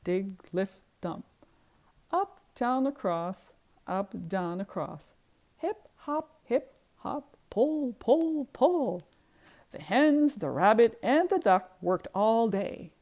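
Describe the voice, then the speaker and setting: Slow, animated woman reading a storybook. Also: on the high side. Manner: emphatic, rhythmic on repeated words. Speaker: children's book reader. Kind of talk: expressive storybook narration.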